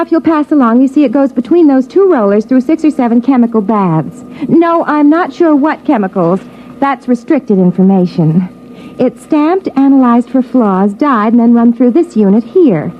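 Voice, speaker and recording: A woman's voice: fairly high pitch, natural voice. A woman playing a chirpy young tour guide with rehearsed, patient patter in 1950s radio acting style. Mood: brisk, rehearsed. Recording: muffled, noisy vintage radio recording.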